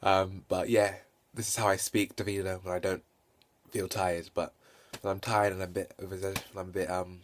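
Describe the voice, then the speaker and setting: A man with a fairly low voice talks through a casual hands-on game review. He stutters at times, repeats words and corrects himself as he goes. He sounds keen on his subject, speaking off the cuff into a handheld phone. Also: little pitch movement, a little hiss or hum. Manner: halting, self-correcting and repetitive. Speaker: video game reviewer and vlogger.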